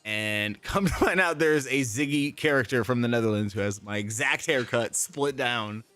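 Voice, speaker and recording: A man telling lively anecdotes in a casual interview chat, laughing often and acting out the people in his stories. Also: medium pitch, normal speed, dry recording, soft background music. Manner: animated storyteller, laughs often, acts out people he quotes.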